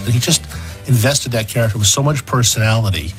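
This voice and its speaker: A man's voice: mid-range voice, fairly quick, loud music behind the voice, low-quality recording. A man giving interview commentary as an animation historian.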